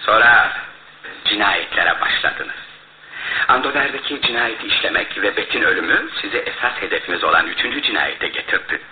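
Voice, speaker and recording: An actor in a radio play as a shrewd, self-assured male detective who explains his case in a theatrical, deliberate way. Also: fairly low pitch, expressive, a little hiss or hum, natural voice. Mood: accusing, methodical. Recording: muffled, roomy radio drama recording.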